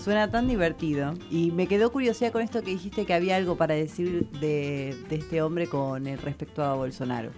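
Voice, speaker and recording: Low-voiced, eager woman co-host. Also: brisk, few pauses, music in the background. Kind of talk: casual radio chat.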